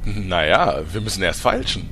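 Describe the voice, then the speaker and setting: A man's voice: deep voice, big pitch swings, normal speed, bright, forceful tone, a little hiss or hum, full character voice. A man playing a fussy, indignant ex-reporter merchant. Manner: fussy, indignant, insists on haggling. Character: bitter ex-reporter turned haggling armor merchant. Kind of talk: comedy radio play acting.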